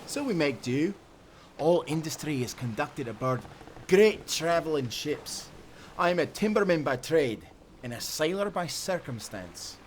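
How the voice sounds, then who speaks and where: A man's voice: on the high side, slight background noise, lightly acted. A man playing a hearty, friendly timberman turned sailor who talks in folksy, encouraging old-time seafaring speech in a nautical audio drama. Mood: welcoming, easygoing. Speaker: sailor guiding a newcomer.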